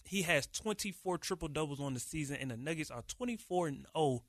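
A man co-hosting a casual sports podcast, talking with animated energy and frequent restarts. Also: on the high side, brisk, no echo, clean studio sound. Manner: animated, hype-filled delivery with many restarts. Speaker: podcast co-host, radio producer.

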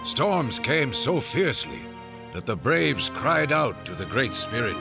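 A man's voice: mid-range voice, sing-song, unhurried, creaky, music in the background. A man acting as a solemn, lyrical storyteller, narrating a scripted radio drama. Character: solemn storyteller of his captive Native brothers.

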